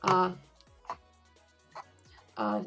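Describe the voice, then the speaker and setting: A woman with a husky, gravelly voice chatting casually on a livestream, rambling and reading out messages. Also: very slow, a bit bright, soft background music. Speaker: co-host of a morning livestream.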